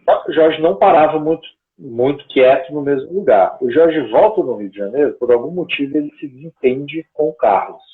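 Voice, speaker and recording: A man's voice: fairly high pitch, expressive, fairly quick. A man telling a long story in a casual, rambling way, often checking in with little tag questions, as a guest on a call. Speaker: guest researcher. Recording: tinny phone-like call audio.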